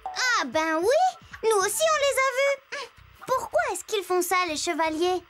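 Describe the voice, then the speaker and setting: A woman voicing a lively, indignant little girl who tells stories in a children's cartoon, chatty and expressive. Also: very high voice, measured pace, over loud music, exaggerated voice. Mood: puzzled.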